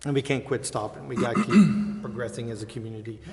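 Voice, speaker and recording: A man's voice: mid-range voice, quick pace, a little mellow. Folksy man in a reverberant meeting room. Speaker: city council member. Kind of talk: meeting discussion. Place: indoor council chamber. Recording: reverberant council chamber.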